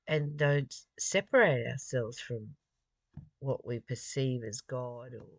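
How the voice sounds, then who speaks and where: A mid-pitched voice reads and comments on spiritual study material. The delivery is unhurried and somewhat halting, with pauses inside phrases. The sound is dry, with very little room around it. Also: no echo. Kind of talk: reading aloud with informal reflective commentary.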